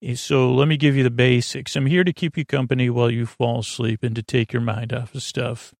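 A man hosting a bedtime podcast in a meandering, halting monologue, often interrupting himself, with a gently soothing, apologetic manner. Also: medium pitch, little pitch movement, creaky, no room sound, clean studio sound. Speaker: podcast host.

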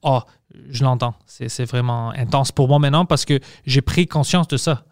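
Smooth-voiced man chatting. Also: mid-range voice, normal speed, darkish tone, no room sound, clean studio sound. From Montreal, Quebec. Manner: loose and chatty, frequent restarts and English slips. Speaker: podcast host. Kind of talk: casual conversational chat.

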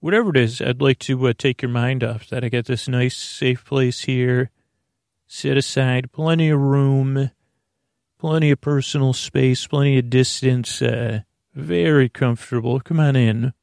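A man hosting a bedtime podcast in a slow, creaky voice, wandering through gentle tangents and restarting his sentences. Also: medium pitch, fairly even intonation, no echo, studio quality. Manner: slow, meandering, self-interrupting, gently joking. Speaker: sleep podcast host. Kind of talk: rambling bedtime monologue.

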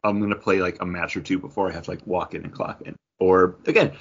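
Low voiced guy explaining game stuff in detail, casual and slangy, bit muffled audio. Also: steady tone, fast talker, creaky, breathy edge. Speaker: podcast co-host. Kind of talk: casual chat about gaming.

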